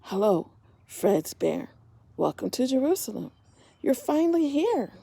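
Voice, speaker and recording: A woman voicing a kind, gentle woman. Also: high-pitched, big pitch swings, unhurried, gravelly, slightly rough, voice pushed up, exaggerated voice. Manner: gentle, whispered. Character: kind, emotional woman welcoming a teddy bear.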